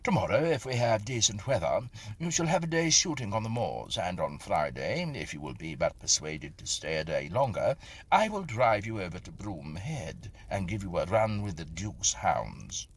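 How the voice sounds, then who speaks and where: A man voicing a hearty, commanding Victorian country gentleman, a breezy and effusive dandy in a ghost story. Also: sits low, big pitch swings, normal speed, husky, heavily acted. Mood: hospitable.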